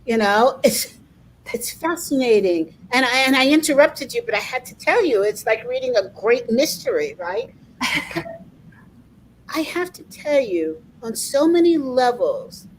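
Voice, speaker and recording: A woman's voice: a little high, sing-song, measured pace, slight background noise, rough recording. A middle-aged woman hosting an interview, talking emphatically and sharing personal anecdotes, often repeating words as she starts. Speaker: interviewer, coaching association host. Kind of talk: conversational interview.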